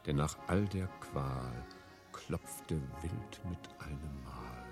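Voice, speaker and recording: An actor playing an insistent, seductive sea captain in a radio play, with theatrical, coaxing delivery that can turn menacing. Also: male voice, a bass voice, slow pace, exaggerated voice. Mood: storytelling. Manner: theatrical, coaxing, abrupt shifts between whispers and shouts. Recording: music behind the voice.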